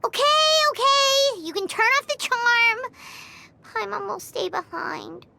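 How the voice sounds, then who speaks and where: Tiny pouty fairy kid for a game, super squeaky, kinda creaky, music behind. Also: female voice, animated, unhurried, background noise, exaggerated voice. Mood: grudging resignation. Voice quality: squeaky, childlike. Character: small childlike female fairy companion, petulant. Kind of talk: video game character acting.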